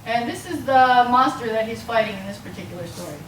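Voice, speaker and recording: Female academic lecturer, halting and explanatory, recorded from a distance in a roomy space with clear background noise. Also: on the high side, lively intonation. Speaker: university lecturer. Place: lecture room, mic some way off.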